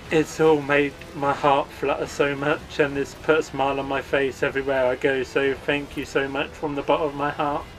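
A man's voice: a little high, slight background noise, rough recording. A man hosting a gaming channel, giving an earnest, upbeat channel update read as a voice-over.

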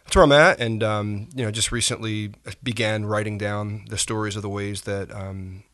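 A pastor in his forties, a man telling his story softly in a reflective interview, pausing often with fillers. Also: lowish voice, fairly even intonation. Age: 40s. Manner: soft-spoken, halting with frequent fillers. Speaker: pastor and podcast guest.

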